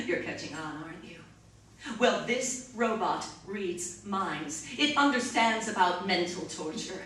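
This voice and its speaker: A woman's voice: mid-range voice, expressive, slow, fairly bright tone. A woman acting as a bitter, coldly relentless robot scientist in a radio drama, with intense, biting, dramatic delivery. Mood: grim, explaining. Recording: echoey, distant, faint noise.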